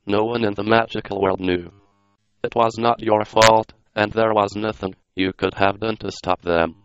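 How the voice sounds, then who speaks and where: Smooth, low synthetic male narrator. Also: normal speed, no room sound. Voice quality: synthetic text-to-speech. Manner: flat, non-dramatic delivery. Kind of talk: computer-read scripted narration. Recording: dull lo-fi synthetic audio.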